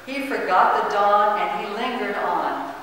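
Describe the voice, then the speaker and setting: A woman narrating a story as a dramatic reading, with expressive, theatrical phrasing. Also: mid-range voice, measured pace. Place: large church, distant camera mic. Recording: echoey, noisy hall from far off.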